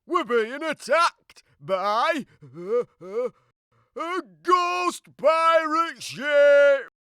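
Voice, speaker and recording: High-pitched blustering old seafarer. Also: male voice, lively intonation, drawn-out words, sharp tone, dry recording, studio quality, full character voice. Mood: alarmed. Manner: exclamatory, blustering. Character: excitable, blustering old seafaring fishermen in a storybook.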